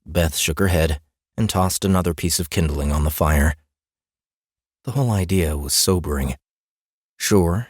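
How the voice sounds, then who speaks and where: Deep-voiced man narrating a fantasy audiobook. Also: no room sound, studio quality. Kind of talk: scripted fantasy fiction narration. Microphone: close studio mic, dry booth.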